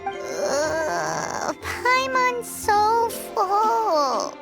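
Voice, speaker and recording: Tiny game fairy sidekick: piercingly high, childlike, cutesy, drawn-out and bouncy, loud music behind. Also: female voice, wildly swooping pitch, vocal fry, exaggerated voice. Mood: stuffed, groaning. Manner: cutesy, speaks of herself in third person. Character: tiny squeaky childlike floating fairy companion. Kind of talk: video game voice acting.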